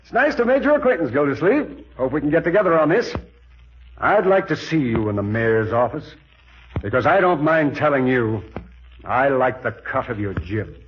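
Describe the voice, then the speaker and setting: Actor playing a smooth, lively glad-handing political boss. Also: male voice, mid-range voice, normal speed, close to an everyday voice. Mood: smooth, persuasive. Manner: hearty, flattering, ingratiating. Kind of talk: 1940s radio comedy acting. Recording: muffled vintage 1940s radio recording.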